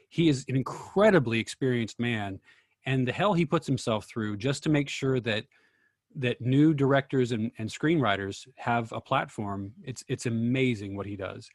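A man's voice: mid-range voice, no room sound, clean studio sound. A man speaking casually in a group discussion, as a host and actor telling stories.

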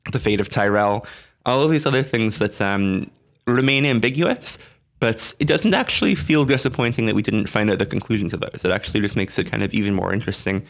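Hoarse man with a low voice. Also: brisk. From Canada. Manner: relaxed, thoughtful, many "um"s. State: hoarse, getting over a flu. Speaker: podcast co-host. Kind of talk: casual chat. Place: dark basement room.

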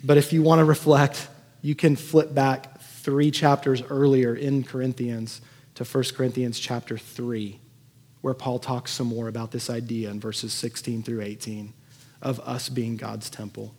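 A man's voice: medium pitch, level tone, a little slow, darkish tone, slightly rough. A man preaching as a pastor in a conversational sermon, earnest and given to emphatic repetition. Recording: echoey hall with long reverb.

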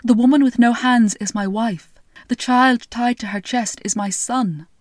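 A woman's voice: a little high, a little slow, no room sound, clean studio sound, natural voice. A woman voicing a weary grown king searching for his wife and child, speaking in a raised, pleading, emotional manner.

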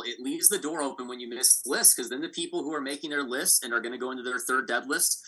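Bright-voiced man talking powerlifting. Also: mid-range voice, rough recording. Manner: analytical, hedging with fillers. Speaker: podcast host. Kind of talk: casual sports podcast chat.

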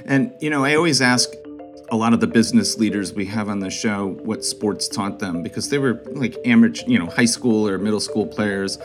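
A man from Connecticut hosting an interview show, reading a polished, upbeat introduction and then asking relaxed questions. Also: fairly low pitch, fairly quick, over music. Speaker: podcast host. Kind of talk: interview host with scripted intro.

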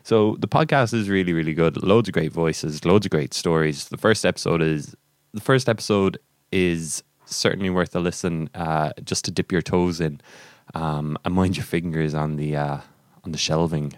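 A man's voice: low-pitched, normal speed, dry recording, clean studio sound. An Irish man hosting a podcast in a casual, rambling way, hesitating and correcting himself as he goes. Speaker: podcast host. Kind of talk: casual interview podcast chat.

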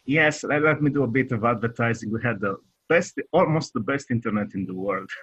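A man with a Romanian accent, a guest developer answering interview questions in halting speech full of hesitations. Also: mid-range voice, fairly bright tone, husky, dry recording, poor audio. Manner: halting, many hesitations and restarts.

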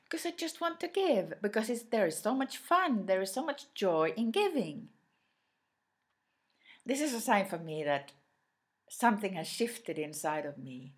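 A native Swedish-speaking woman gives an unscripted motivational talk, addressing the listener directly. Her voice sits a little low, and she speaks very slowly. She is reflective and often pauses partway through a phrase. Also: breathy edge. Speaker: inspirational speaker.

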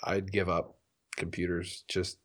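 A man's voice: sits low, little pitch movement, a little slow, a bit bright. A man co-hosting a casual podcast, playfully putting questions to his friends. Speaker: podcast co-host asking the questions. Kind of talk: casual chat.

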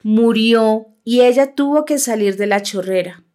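A woman's voice: a little high, a little slow, dark tone. A woman in her thirties with a Bogotá Colombian accent, a native Huitoto speaker, narrating a scripted personal audio documentary. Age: 30s. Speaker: narrator and host.

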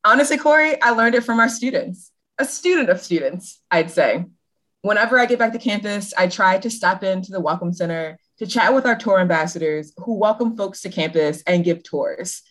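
A woman's voice: medium pitch, lively intonation, normal speed, fairly bright tone. Bubbly woman podcast co-host. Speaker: podcast co-host, admissions advisor. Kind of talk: casual podcast chat.

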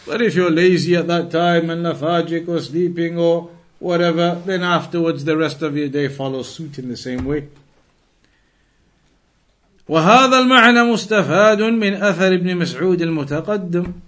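A man with a slightly high voice teaches religion. He reads and explains in a didactic way, restating key phrases so that each point lands. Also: a little fast, fairly bright tone. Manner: didactic, repeats and rephrases phrases for emphasis. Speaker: religious teacher reading and explaining an Islamic text. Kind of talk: religious lesson, reading aloud then explaining.

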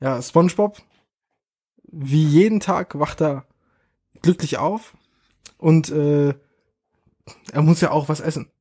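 A man's voice: a little high, slow pace, pauses often, mellow. Hesitant storytelling man. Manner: hesitant, many fillers. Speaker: podcast co-host. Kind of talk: improvised storytelling.

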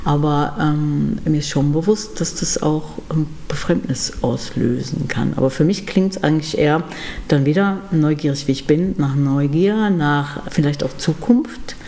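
A middle-aged woman musician being interviewed, talking thoughtfully with many pauses and fillers. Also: low voice, vocal fry, mellow. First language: German. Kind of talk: casual interview conversation.

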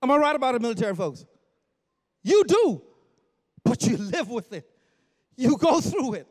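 Animated high-voiced preacher from the Bahamas. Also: male voice, normal speed. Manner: animated, jokes with congregation, bursts into shouts. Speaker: pastor. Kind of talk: storytelling sermon. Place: church sanctuary.

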